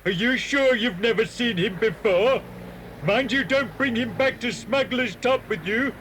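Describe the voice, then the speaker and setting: Cold, high-pitched British servant, noisy tape. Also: male voice, measured pace, fairly bright tone. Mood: cold, suspicious. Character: stern, cold, unfriendly male servant who dislikes dogs. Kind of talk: 1980s British children's audio drama acting. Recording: noisy cassette dramatisation.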